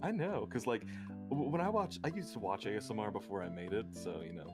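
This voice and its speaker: A man's voice: on the low side, expressive, fairly quick. A young adult man chatting casually and agreeably as a guest on a livestream, in an informal way. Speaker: guest streamer and ASMR creator. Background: guitar music.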